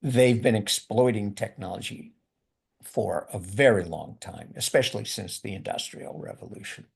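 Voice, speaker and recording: A man's voice: lowish voice, moderate pace, gravelly, breathy edge. An older man giving a lecture in a loose, conversational way, often repeating words as he thinks. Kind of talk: university lecture with discussion.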